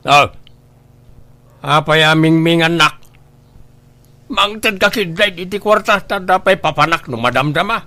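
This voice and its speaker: A man acts out a greedy father in a radio drama. His high, sharp voice nags and demands insistently, recorded close and dry. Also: a little slow, long pauses, no room sound. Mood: demanding, nagging. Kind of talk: Ilocano radio drama acting.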